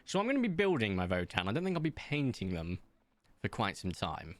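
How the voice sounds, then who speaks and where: Low-voiced man, rambling chat. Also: wildly swooping pitch, fairly quick. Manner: rambling, self-mocking, hesitant with many ums. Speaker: streamer. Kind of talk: casual chat reading viewer comments while playing.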